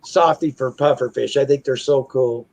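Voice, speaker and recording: A man chatting loudly and easily on a livestream while at his workplace. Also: mid-range voice, steady tone, normal speed, creaky. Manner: loud, chatty, easygoing. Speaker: co-host on a stream from his workplace. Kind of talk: casual livestream chat.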